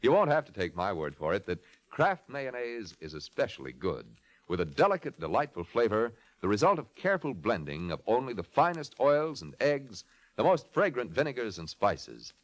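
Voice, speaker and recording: A man's voice: medium pitch, sing-song, normal speed, poor audio. A man announcing in a scripted 1940s radio style, with a polished, emphatic salesmanlike delivery. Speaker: announcer, narrator and sponsor pitchman.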